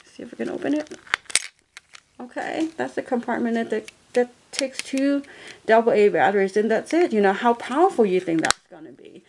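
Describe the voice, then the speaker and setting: A woman's voice: a little high, animated, normal speed, gravelly, background noise. Woman chatting about a little gadget off camera, lots of ums and restarts, home camera mic. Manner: chatty, rambling, many fillers and restarts. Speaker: product reviewer. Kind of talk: casual product review.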